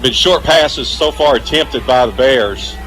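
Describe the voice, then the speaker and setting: A man's voice: medium pitch, unhurried, fairly bright tone, soft background music, rough recording. Man doing football color commentary on radio. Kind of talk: live radio football analysis.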